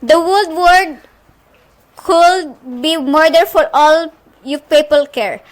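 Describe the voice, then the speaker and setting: A woman's voice: very high-pitched, fairly even intonation, slow pace. A woman acting in an amateur suspense radio play as a nervous, irritable bedridden woman, complaining imperiously on the telephone. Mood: exasperated.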